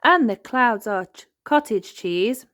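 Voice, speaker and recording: A woman voicing an excited, cheese-loving young girl mouse in a storybook, full of gushing exclamations. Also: on the high side, takes it slowly, voice pushed up.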